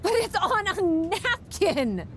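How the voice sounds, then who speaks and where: An indignant, desperate wrestler in a video game cutscene. Her voice is strikingly high and very animated, with drawn-out, emphatic words. The delivery is dramatic throughout. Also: a little hiss or hum, heavily acted. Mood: distressed, indignant.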